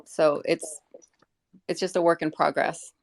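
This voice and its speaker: A woman giving unscripted explanations in an online discussion, choosing her words in a careful, halting way. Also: low-pitched, fairly even intonation, vocal fry. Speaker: community representative being interviewed. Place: live online audio room.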